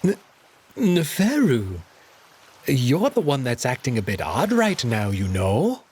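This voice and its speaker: A man's voice: medium pitch, huge pitch swings, slow, darkish tone, breathy edge, exaggerated voice. A man voicing a small timid male cat in a visual novel, stammering guiltily and pleading. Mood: guilty, stammering. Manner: stuttering, breathless pleading.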